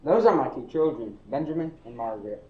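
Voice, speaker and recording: A man playing an earnest professor and family father, haltingly recounting a marvel in a radio play. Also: on the high side, expressive, narrow, tinny audio, close to an everyday voice. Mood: genial, recounting. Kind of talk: radio play storytelling in verse. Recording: echoey room, faint noise.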